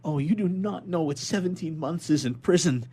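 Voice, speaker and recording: A man's voice: on the high side, normal speed, mellow, slightly rough, pitched above the performer's own voice. A man voicing a desperate young sailor held prisoner, pleading earnestly and politely in a dramatic audiobook reading. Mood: anguished. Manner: impassioned, earnest, imploring, formally polite.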